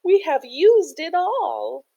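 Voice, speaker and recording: A woman's voice: extremely high pitch, sing-song, slow pace, creaky, mellow, dull audio, voice pushed up, full character voice. A woman voicing a small, panicky crew of storybook paint scribbles in a plaintive, crying manner. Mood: distressed. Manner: plaintive, wailing.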